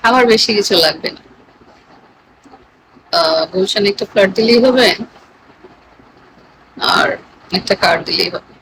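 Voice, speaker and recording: Playful Bangladeshi woman over clear background noise. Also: medium pitch, a little slow, pauses often, fairly bright tone, poor audio. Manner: playful, teasing. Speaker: live streamer. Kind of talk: casual chat with live stream viewers.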